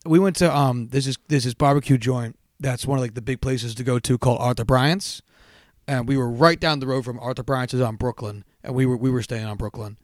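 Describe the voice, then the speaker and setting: A man co-hosting a casual podcast, hoarse-voiced, telling stories animatedly and swearing freely. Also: medium pitch, fairly quick, no room sound, clean studio sound. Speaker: podcast co-host. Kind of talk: casual chat.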